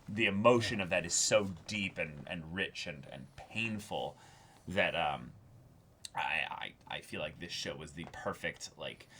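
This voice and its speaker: A man's voice: low voice, unhurried, sharp tone, a little hiss or hum. A man co-hosting a reaction show, talking earnestly and effusively in casual discussion, often repeating words as he searches for the next one.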